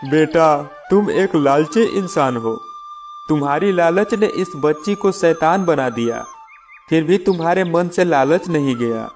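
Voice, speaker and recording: A man's voice: fairly high pitch, lively intonation, measured pace, soft background music, pitched above the performer's own voice. A man voicing a stern village holy man and mystic, grave and admonishing, in a children's moral story. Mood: stern, reproachful.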